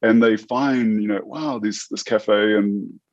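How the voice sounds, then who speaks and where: Halting New Zealander with an American accent. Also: male voice, lowish voice, fairly even intonation, no echo, lo-fi sound. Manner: halting, many restarts and fillers. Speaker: guest, tech entrepreneur. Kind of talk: casual interview chat.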